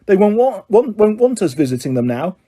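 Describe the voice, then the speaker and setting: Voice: medium pitch, very animated, brisk, mellow, pitched above the performer's own voice. Brief: sulky teen boy, snappish in a reader's near-natural voice. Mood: irritated. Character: grumpy teenage schoolboy. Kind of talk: audiobook character voice.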